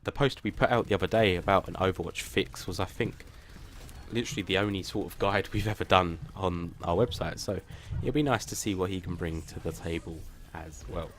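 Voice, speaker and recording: A man's voice: deep voice, a little fast, a little hiss or hum. Plain-voiced man rambling to viewers. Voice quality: flat, plain voice. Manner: rambling and hesitant, many restarts; self-deprecating. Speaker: gaming channel host. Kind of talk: casual channel update to viewers.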